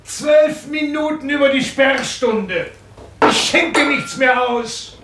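A tavern keeper in an old radio play announces closing time loudly in a very high voice. He sounds distant, in a roomy, noisy space. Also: slow. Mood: announcing.

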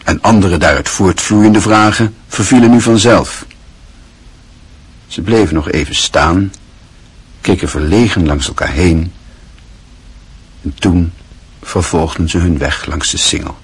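A man with a very husky voice reading scripted literary narration for a radio drama. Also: deep voice, measured pace, pauses often.

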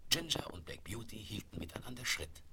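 A man narrating a 1970s German children's radio play in a measured, literary storytelling manner. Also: fairly low pitch, very animated, vocal fry, in a whisper. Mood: calm narration. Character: storyteller of a children's horse adventure.

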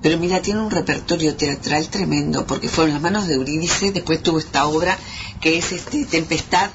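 A woman's voice: low voice, fairly quick. A woman with a Uruguayan accent reminiscing casually as a radio guest, emphatic and repeating words for stress. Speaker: actress, interview guest. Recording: dull, very noisy old radio tape.

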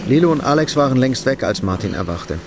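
A man narrating a scripted literary radio drama in a sober, measured way. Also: medium pitch, expressive, background noise.

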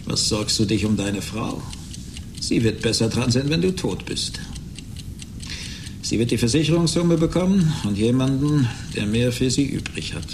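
A vengeful, contemptuous millionaire voiced by a man in a radio play. He taunts with cutting scorn in a medium-pitched male voice. There is faint noise behind him. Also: lively intonation, moderate pace, close to an everyday voice. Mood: cold, taunting. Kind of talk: German radio play acting.

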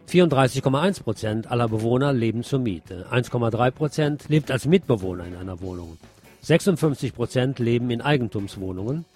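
Man, mid-pitched voice, radio news presenter, scripted commentary, deliberate emphatic delivery, wry, faint music underneath. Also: a little mellow.

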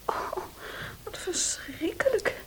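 A woman playing an anxious, devoted sister in a Dutch radio play, hushed, worried and formal. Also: slow pace, in a whisper, natural voice. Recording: faintly hissy old radio recording.

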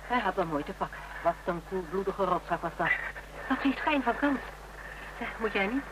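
A man's voice: high-pitched, animated, normal speed. A man acting a shaken but wry survivor of a killer, colloquial and downplaying danger, in a radio crime drama. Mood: relieved, wry. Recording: noisy radio drama recording.

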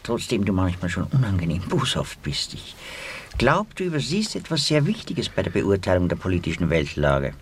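An actor playing an earnest, long-winded socialist intellectual in a radio drama, lecturing pedantically and haltingly. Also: male voice, deep voice, sing-song, a little hiss or hum.

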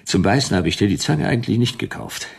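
A man's voice: lowish voice, animated, fast talker, exaggerated voice. A man playing a world-weary private detective in a noir radio drama, narrating in clipped, laconic, wry phrases. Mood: gruff, irritated. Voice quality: gravelly.

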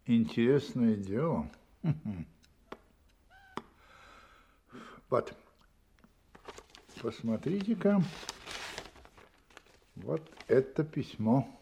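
An actor playing a brilliant, confident detective in a Russian radio play, explaining deliberately and emphatically with dramatic pauses. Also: male voice, medium pitch, warm tone, a little hiss or hum. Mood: intrigued.

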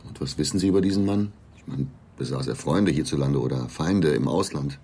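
Very deep, husky male investigator. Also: fairly quick, mellow, heavily acted. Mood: probing. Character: gruff male police investigator, terse, probing. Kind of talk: naturalistic radio play acting.

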